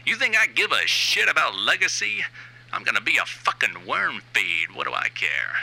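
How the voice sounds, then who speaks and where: A man performs a brash, aging new wave rocker who cares only about money, in a satirical audio monologue. His voice is very bright and forceful with a gravelly creak, swinging up and down as he rants with sardonic emphasis. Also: medium pitch, very animated, moderate pace, full character voice, rough recording. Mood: sardonic, intense. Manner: sardonic, emphatic, profane, ranting.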